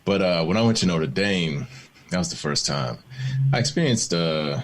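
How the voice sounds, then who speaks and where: A man with a very low voice talks candidly on a video call. He was born in the Bahamas and raised in Maryland. He tells stories in a reflective way and laughs easily. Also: expressive, moderate pace, slight background noise. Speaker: guest, film and TV showrunner. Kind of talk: candid panel conversation.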